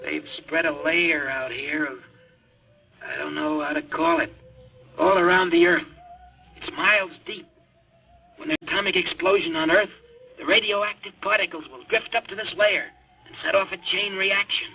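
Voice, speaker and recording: An actor playing a desperate, haunted rocket test pilot in a mid-century radio drama, speaking in an urgent, halting, earnest way. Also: male voice, on the high side, steady tone, gravelly, slightly rough. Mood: dazed, grave. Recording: tinny narrow-band vintage radio broadcast, music.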